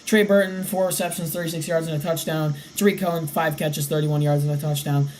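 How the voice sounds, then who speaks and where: A young man around eighteen hosting a casual sports podcast, his voice slightly raspy, rattling off game stats. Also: on the high side, fairly even intonation, a little fast, darkish tone, slight background noise, low-quality recording. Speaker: podcast host.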